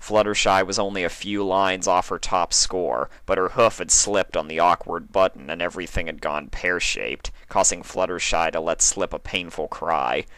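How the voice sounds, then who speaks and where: A man's voice: sits low, fairly even intonation, normal speed, hardly any pauses, fairly bright tone, smooth, dry recording. A man narrating a written story as a dramatic reading. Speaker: fan fiction reader.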